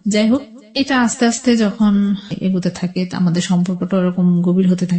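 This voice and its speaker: A woman's voice: on the low side, steady tone, normal speed, warm tone. Bangladeshi woman telling her life story. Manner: rambling, colloquial, quotes past conversations. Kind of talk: personal storytelling in a radio interview.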